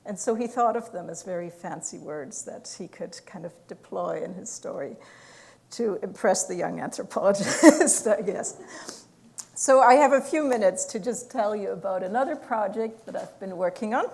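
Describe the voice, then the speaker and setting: A woman lecturing at a university with a gravelly edge to her voice. She talks in an explanatory way, filling pauses with "uh" and laughing softly now and then. Her pitch sits in an ordinary middle range. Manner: explanatory, with frequent fillers and soft laughs. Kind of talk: academic lecture.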